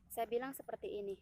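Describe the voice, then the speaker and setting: A woman in her thirties from Indonesia, hosting her own channel and telling a personal testimony in a casual, halting way, with many fillers and self-corrections as she speaks to viewers. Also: fairly high pitch, dark tone. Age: 30s.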